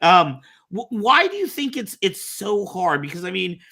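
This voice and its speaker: He is a podcast host with a forceful, somewhat high male voice. He talks casually and with strong opinions. His sentences stumble and restart as he repeats words. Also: very animated, moderate pace, gravelly. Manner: swears casually. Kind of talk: casual nerd-culture discussion.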